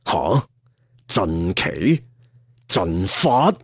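A man's voice: low-pitched, sing-song, very slow, husky, dry recording, studio quality, pitched below the performer's own voice, exaggerated voice. A man voicing an arrogant, scornful martial-arts villain lord in a story, sneering with contempt. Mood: puzzled.

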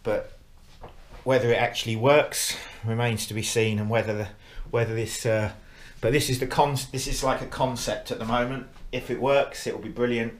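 A middle-aged man at home, explaining his model railway build in a halting way with many fillers, recorded on an action camera. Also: medium pitch, level tone. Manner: hesitant, lots of uh fillers and restarts, plain explanatory. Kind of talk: casual show-and-tell progress update. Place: living room at home, indoors.

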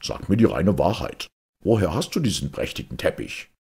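A man playing a proud castle commander and royal servant in a fairy tale, formal and courtly, with a gravelly, husky voice. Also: sits low, sing-song, moderate pace, a little mellow, voice pushed up, heavily acted. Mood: brisk, authoritative.

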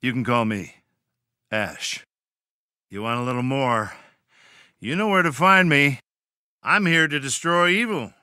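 An actor playing a swaggering monster-slaying action hero in a video game, with cocky, wisecracking delivery. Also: male voice, mid-range voice, a little slow, long pauses, sharp tone, no room sound, clean studio sound.

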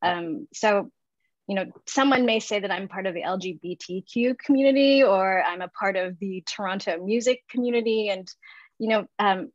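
A woman in her thirties speaking in a measured, reflective way on a video call from her living room, thinking aloud as she talks. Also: medium pitch, no room sound. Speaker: artist and guest speaker. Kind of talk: reflective conversation. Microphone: video call with earbuds. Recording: dull dry video call audio.

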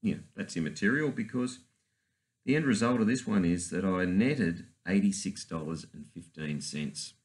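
A man, talking casually and haltingly in a garage as host of his own channel. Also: deep voice. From Australia. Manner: rambling, hesitant with many fillers. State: hoarse after a night out. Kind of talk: casual vlog. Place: cluttered garage workshop.

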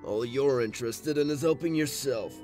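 A man's voice: medium pitch, normal speed, darkish tone, lightly acted. A man voicing a weary, sardonic skeleton in a comic dub, casual, sarcastic and defiant. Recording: faint music behind.

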